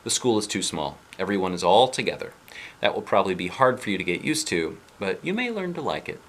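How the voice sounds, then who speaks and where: A man reading aloud, voicing a young woman teacher who is brisk, reassuring and lightly teasing. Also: low-pitched, big pitch swings, a bit bright, full character voice.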